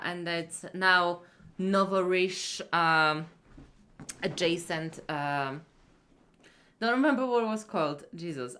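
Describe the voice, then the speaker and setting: Low-voiced woman streamer. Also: pushed and bright. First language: Polish. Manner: rambling, many fillers and restarts. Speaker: streamer reacting to a video. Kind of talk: casual reaction commentary.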